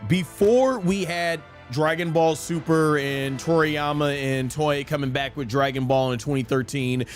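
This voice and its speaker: A man in his thirties hosting a gaming livestream, chatting casually and explaining his opinions at length. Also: a little high. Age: 30s. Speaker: streamer and host of a live gaming show. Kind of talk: casual livestream chat answering viewer questions.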